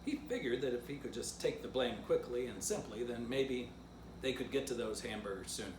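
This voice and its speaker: A man's voice: medium pitch, lively intonation, fairly quick. A man presenting to children in a simple, informal way, as an author reading and teaching. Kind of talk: children's story reading and casual drawing lesson. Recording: roomy echo, clear background noise.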